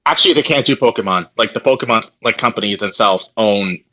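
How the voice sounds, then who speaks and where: A man's voice: on the low side, fast, pushed and bright, rough recording. A man in a casual gaming podcast chat, opinionated and slangy, repeating himself for emphasis. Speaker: podcast co-host. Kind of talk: casual chat about video games.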